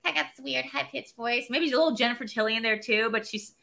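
A young adult woman co-hosts a loose, casual film chat. She rambles along with a lot of "like", and her voice is bright and forward. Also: on the high side, fast talker, creaky. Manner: rambling, heavy use of "like". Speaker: podcast and stream co-host.

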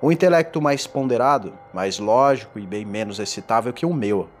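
A man playing a tormented condemned man in a gothic horror audio drama, confessing in a formal, theatrical and deliberate delivery. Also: mid-range voice, animated, lightly acted. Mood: solemn, anguished. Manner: theatrical, deliberate, ornate diction. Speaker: narrator. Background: music.

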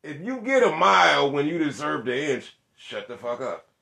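A man co-hosting a casual chat, brash and joking with crude banter, who calls his voice monotone. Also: fairly high pitch, moderate pace, gravelly, roomy sound. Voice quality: monotone delivery. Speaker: podcast co-host.

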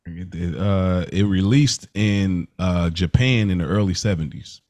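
A man hosting a casual podcast review of a TV show, talking in a slangy, joking, animated way. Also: sits low, measured pace. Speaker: podcast host. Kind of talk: casual chat reviewing a TV series.

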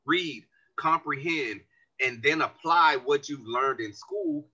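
A man, a community organization leader, explaining his views as a guest in a podcast interview, animated and earnest. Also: fairly high pitch, slow, husky, dull audio.

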